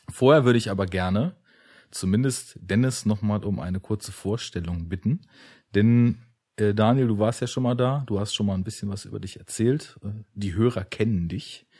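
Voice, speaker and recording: Husky, low-voiced male podcast host. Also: warm tone. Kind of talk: casual film podcast talk.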